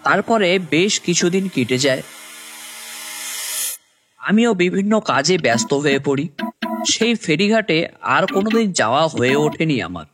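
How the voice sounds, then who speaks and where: A man's voice: fairly high pitch, sing-song, a little slow, pauses often. A man voicing an earnest, hesitant young man who narrates his own cartoon tale. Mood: reflective. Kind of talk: first-person story narration with acted dialogue. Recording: music bed behind voice.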